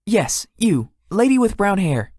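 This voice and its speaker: A man playing a gracious young celebrity tech guru in a visual novel, speaking politely and formally in a measured way. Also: high-pitched, sing-song, darkish tone, no room sound, clean studio sound, heavily acted. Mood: thoughtful. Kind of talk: visual novel character acting.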